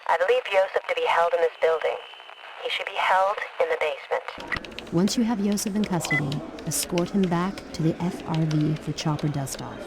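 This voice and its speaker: A woman's voice: on the low side, moderate pace, heavy background noise, lightly acted. A woman playing a businesslike military handler in a game, giving clipped, formal, authoritative orders. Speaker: mission controller. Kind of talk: scripted military briefing.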